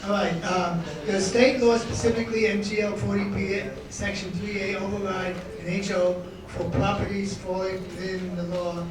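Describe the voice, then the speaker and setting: A man moderating a town meeting, reading questions aloud in a halting, hesitant way. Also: high voice, flat delivery, crowd noise. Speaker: forum moderator. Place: meeting room. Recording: very echoey room, far from mic, noisy.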